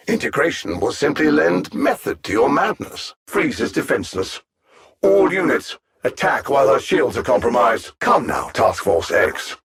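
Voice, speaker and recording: An imperious artificial alien mind bent on conquest in a video game. The voice is gravelly and husky, swinging widely in pitch. It speaks with cold mockery, erudite and grandly condescending. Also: male voice, a bit bright, full character voice. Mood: coolly persuasive, then commanding, scornful. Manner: haughty, erudite, condescending, theatrical. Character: arrogant, coldly mocking alien artificial-intelligence conqueror. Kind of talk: video game villain voice lines.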